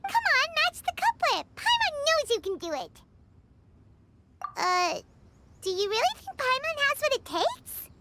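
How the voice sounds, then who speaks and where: A woman voicing a tiny, bubbly, childlike female fairy companion in a video game, with a squeaky voice and a habit of third-person talk. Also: sing-song, a little slow, long pauses, very noisy, full character voice. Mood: excited. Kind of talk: video game cutscene acting. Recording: music behind the voice.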